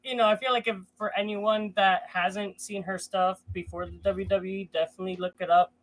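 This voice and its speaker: A man's voice: sits high, little pitch movement, a little fast, fairly bright tone. Nasal-voiced man on a panel. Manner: chatty, hedging, filler-heavy analysis. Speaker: podcast panelist. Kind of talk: casual panel chat.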